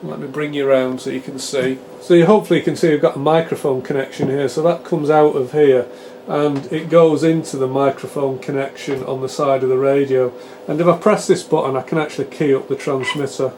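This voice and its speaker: A British man with a mellow, relaxed voice presents a hands-on technical demonstration. He talks in a chatty, explanatory way with halting phrasing. Faint room noise sits behind his voice. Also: medium pitch. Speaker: presenter demonstrating radio repair equipment.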